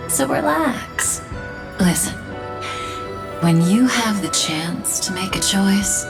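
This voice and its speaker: An actress playing a composed, knowing woman in an English game dub, speaking in a deliberate, solemn, reassuring way over music. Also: on the low side. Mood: calm, reassuring. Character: composed, knowing woman prophesying fate to a chosen traveler.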